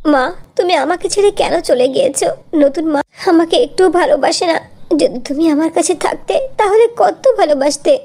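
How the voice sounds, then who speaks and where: Young fairy-tale heroine adult female actor: meek, gentle, polite stepdaughter with a high, plaintive voice. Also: moderate pace. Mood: sad longing. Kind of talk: Bengali fairy-tale cartoon dubbing.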